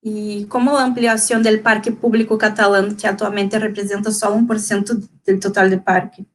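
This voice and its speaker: Young woman w a portuguese accent, flat even voice, lots of ums while she asks her questions. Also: medium pitch, moderate pace. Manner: hesitant, many fillers. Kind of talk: reading interview questions.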